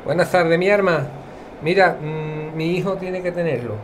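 A man's voice: on the high side, steady tone, measured pace, close to an everyday voice. A man playing an affectionate, chatty old Andalusian grandmother in an audio drama, folksy and full of complaints. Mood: friendly, grateful. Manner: folksy, colloquial, self-pitying. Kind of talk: audio fiction acting. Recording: clear ambient noise behind voice.

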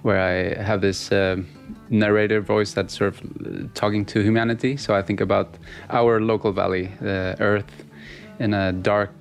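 Swedish-native male musician, low and gravelly, halting casual answers. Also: flat delivery, faint music behind. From Sweden. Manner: halting, many fillers and restarts. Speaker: musician guest. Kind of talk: casual interview.

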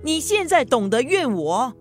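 Elderly grandmother, fairly high voice, chiding, sighing, blunt peacemaker, radio drama, light music behind. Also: very animated, unhurried. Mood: reproachful. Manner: sighing, admonishing. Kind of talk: Mandarin radio drama acting.

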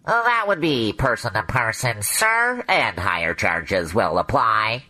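A woman's voice: rumbling low, very animated, unhurried, pushed and bright, no room sound, full character voice. A woman playing a formal 1950s telephone operator in an old-time radio drama, clipped and officiously polite.

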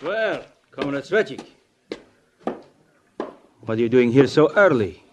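Suspicious party boss, noisy old radio. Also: male voice, medium pitch, very animated, takes it slowly. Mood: commanding, suspicious. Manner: authoritative, probing. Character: suspicious, commanding male communist party boss. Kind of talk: 1950s radio drama acting. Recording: noisy 1950s radio recording with clicks.

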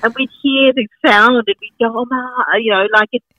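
A woman's voice: on the high side, steady tone, moderate pace, no room sound, dull audio. A middle-aged woman from Sri Lanka with a Sri Lankan accent, chatting enthusiastically as an interview guest, rambling with many fillers. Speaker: guest, market founder and farmer. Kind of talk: casual interview chat.

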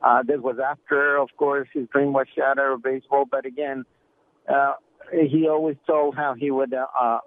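A man from Ecuador, a native Spanish speaker, calling in to a radio show and chatting casually with hesitant pauses. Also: mid-range voice, little pitch movement. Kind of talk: casual phone-in chat. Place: phone call into radio show. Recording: narrow tinny phone line.

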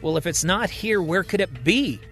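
A woman's voice: fairly low pitch, lively intonation, normal speed, soft background music, pitched above the performer's own voice. A man voicing a level-headed woman doctor who sounds uneasy and keeps asking questions. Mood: worried.

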